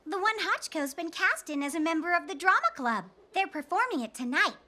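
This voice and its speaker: A woman's voice: extremely high pitch, big pitch swings, a little fast, bright, forceful tone, heavily acted. A woman voicing a cheerful young woman who runs a sweet shop, bubbly and exclaiming, in an anime dub. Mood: gentle.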